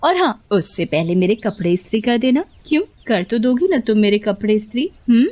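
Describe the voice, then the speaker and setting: A woman's voice: a little high, expressive, brisk, a little mellow, natural voice. A woman voicing a cunning, two-faced young sister-in-law in a cartoon story, sugary and mocking. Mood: sugary, bossy. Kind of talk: Hindi cartoon story voice acting.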